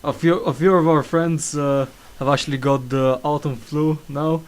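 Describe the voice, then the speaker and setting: A man's voice: a little high, steady tone, moderate pace. A young man hosting a casual podcast, with a slight cough, talking hesitantly and stumbling through fillers and restarts. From England. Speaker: podcast host. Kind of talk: casual chat.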